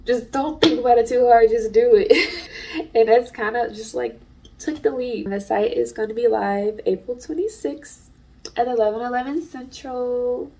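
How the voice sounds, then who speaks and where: Congested woman with a gravelly voice. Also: a little high, moderate pace, mellow, distant mic. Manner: chatty and earnest, many fillers. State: congested from allergies. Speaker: artist and small business owner. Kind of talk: casual vlog talk to camera.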